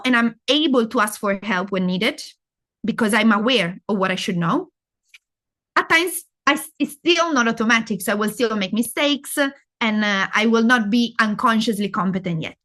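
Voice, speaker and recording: Woman, Italian accent, fairly high voice, explains step by step, asks herself questions. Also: fairly bright tone. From Italy. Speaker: presenter of a live masterclass. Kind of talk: interactive talk on learning.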